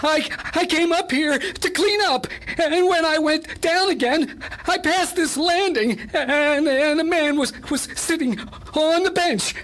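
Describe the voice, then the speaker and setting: A woman's voice: high voice, slow pace, fairly bright tone, slight background noise, pitched above the performer's own voice. A woman voicing a small, worn-out, cringing male janitor who stammers and whines timidly in a pulp detective drama. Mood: whining, panicked.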